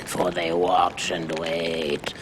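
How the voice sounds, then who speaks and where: A man voicing a sinister, tormented undead spirit in a video game, with gravelly, whispery, archaic and menacing speech. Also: sits low, monotone, extremely slow, background noise. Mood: brooding, ominous. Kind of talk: theatrical video game voice acting.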